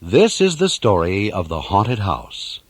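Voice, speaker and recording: A man's voice: on the low side, huge pitch swings, a little slow, breathy edge, no room sound. A man giving dramatic scripted narration of a children's story over music.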